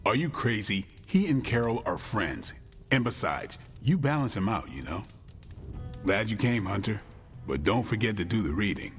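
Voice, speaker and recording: A man playing a gruff, guarded, bookish vampire-hunter warrior with dry wit, in video game cutscene dialogue. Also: lowish voice, sing-song, moderate pace. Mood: sheepish, defensive. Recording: long reverb, music underneath.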